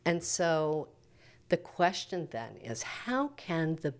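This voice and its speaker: A woman's voice: deep voice, sing-song, takes it slowly. A middle-aged woman speaking as a church preacher in an interview, explaining things in an earnest, reflective, teacherly way.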